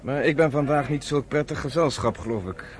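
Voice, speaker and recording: An actor playing a blunt, self-assured resistance fighter in a radio drama. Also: male voice, medium pitch, brisk, close to an everyday voice. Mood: subdued.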